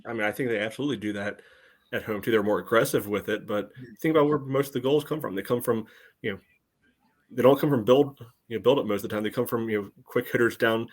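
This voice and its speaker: A man with a fairly low voice offers casual sports analysis. He talks informally, like a panelist among friends, with loose phrasing full of fillers. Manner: informal, peppers talk with "you know". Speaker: podcast panelist.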